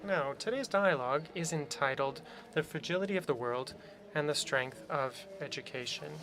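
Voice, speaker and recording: A man interpreting a conference panel into English, speaking in measured short phrases. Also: fairly high pitch, noisy background.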